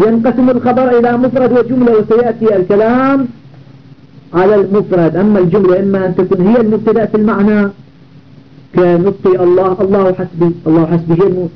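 Male grammar teacher, very high-pitched, methodical and repetitive, dull lo-fi recording. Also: fairly even intonation. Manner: repeats and self-corrects, slows down for quoted verses. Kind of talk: explaining a classical grammar poem.

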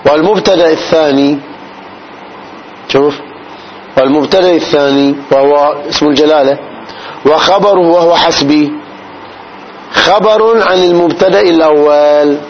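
A man teaches a classical grammar lesson in a slightly raised voice. He breaks each point down slowly and keeps asking his students whether it is clear. The recording sounds dull, with a faint hiss behind him. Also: on the high side. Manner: explains slowly, often checks the class's understanding.

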